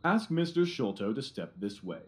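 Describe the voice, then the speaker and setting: A man's voice: medium pitch, very animated, normal speed, a little hiss or hum, natural voice. Man acting a pompous, swooping Victorian police inspector. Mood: officious. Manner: pompous, self-satisfied, officious. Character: pompous, blustering Victorian police inspector, smugly self-assured. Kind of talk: audio drama acting.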